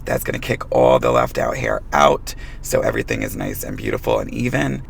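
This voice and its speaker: Hoarse man with a gravelly voice. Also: extremely low pitch, wildly swooping pitch, brisk, slight background noise. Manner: frank, direct advice to fellow stylists. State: hoarse, losing his voice. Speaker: hair colorist. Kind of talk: hair tutorial vlog.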